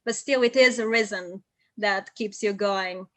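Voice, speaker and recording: A woman's voice: medium pitch, fairly bright tone, dry recording. Bulgarian-native woman, young translator, methodical interview guest, dry close recording. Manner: measured, methodical, with frequent ums. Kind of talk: interview, giving advice.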